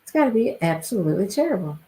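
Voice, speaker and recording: A woman's voice: mid-range voice, sing-song, fast talker, mellow. A woman hosting a live stream, chatting casually with viewers by name and repeating her phrases, her voice stuffy and congested. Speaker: livestream host.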